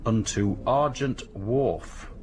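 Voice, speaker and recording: A man's voice: on the low side, slow, a little mellow. Wry male detective over noisy background. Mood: businesslike. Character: male police detective, polite, wry, sceptical. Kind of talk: naturalistic radio drama dialogue.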